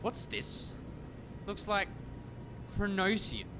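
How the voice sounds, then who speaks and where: Guy doing stuffy bossy male lab inspector, radio comedy, pompous, pitch goes up and down loads, noisy audio. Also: big pitch swings, slow pace, exaggerated voice. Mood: suspicious. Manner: pompous, officious, condescending. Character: stern, pompous male university lab inspector, easily irritated. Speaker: radio play actor. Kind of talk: comic radio play acting.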